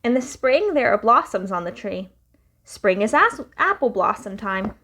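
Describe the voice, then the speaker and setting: A young woman reading a picture book aloud to children in a slow, sing-song storytelling way. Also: medium pitch, big pitch swings, fairly bright tone, a little hiss or hum.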